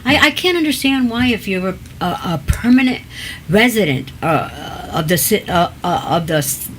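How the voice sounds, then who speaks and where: A woman's voice: mid-range voice, expressive, a little slow. A woman hosts a talk show interview, moving easily between Spanish and English. Her questions come loose and conversational, full of small fillers. A faint hiss sits under the voice. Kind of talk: bilingual interview.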